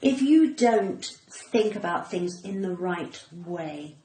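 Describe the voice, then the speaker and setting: A woman's voice: mid-range voice, animated, slow, dark tone. A middle-aged woman with a well-spoken, cut-glass English accent, chatting casually and telling anecdotes in an emphatic, cheeky way. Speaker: podcast guest, comedian. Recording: roomy echo, light background noise.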